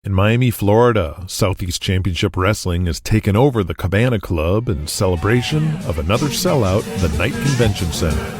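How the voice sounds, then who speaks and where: A man's voice: lowish voice, animated, moderate pace, hardly any pauses, slightly rough, no echo. A man reading dramatic scripted narration in the present tense as the narrator of an audio drama. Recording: music playing behind.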